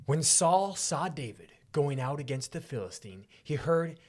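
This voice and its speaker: A man co-hosting a podcast, speaking in an expressive, informal way with a theatrical streak. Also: fairly high pitch, a little slow. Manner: slangy, expressive, theatrical when reading. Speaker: podcast co-host. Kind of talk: casual podcast chat with animated Bible reading.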